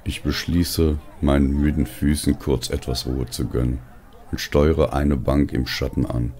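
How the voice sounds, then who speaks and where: A man narrating a scripted first-person audio story in a slow, weary, brooding way. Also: rumbling low, fairly even intonation, a little mellow. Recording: music behind the voice. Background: music.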